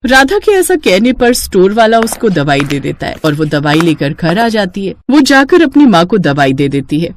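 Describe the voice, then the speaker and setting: A woman narrates a cartoon tale in scripted storyteller style. Her pitch is mid-range and moves in a lively way, with clear noise in the background. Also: moderate pace, few pauses.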